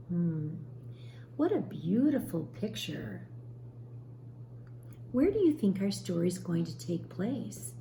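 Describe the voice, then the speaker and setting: A woman teacher reading a storybook aloud expressively to young children, pausing to explain and ask questions. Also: mid-range voice, warm tone. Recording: roomy echo, faint background noise.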